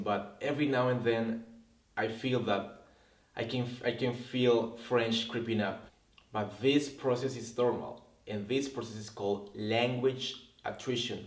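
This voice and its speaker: A man whose first language is Spanish, a language teacher hosting a reflective talk to camera, earnest and often repeating words as he searches for them. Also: mid-range voice. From Latin America. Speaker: language teacher and video host. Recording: roomy, distant sound.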